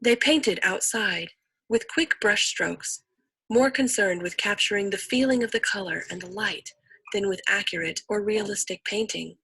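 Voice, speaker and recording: A woman's voice: mid-range voice, moderate pace, vocal fry, bright, forceful tone, slightly rough, no echo, low-quality recording. A woman reading a scripted educational narration as the narrator of an instructional video.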